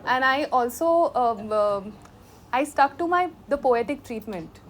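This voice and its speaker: High-voiced Indian woman filmmaker. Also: expressive, measured pace, slight background noise. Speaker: filmmaker answering audience questions. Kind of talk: spontaneous question-and-answer talk.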